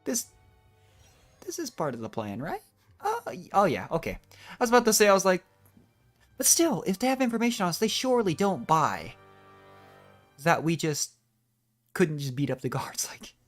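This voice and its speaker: A man's voice: high-pitched, huge pitch swings, moderate pace, long pauses. Chatty male game streamer. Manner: chatty, informal, muttering reactions. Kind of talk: casual live reaction commentary. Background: game music.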